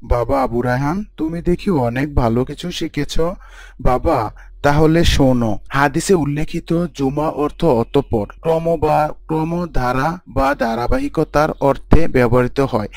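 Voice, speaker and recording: A man playing a pious husband and father in a scripted Islamic story, explaining teachings in a measured, didactic way. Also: on the high side, animated, vocal fry, darkish tone, dry recording. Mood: approving, instructive.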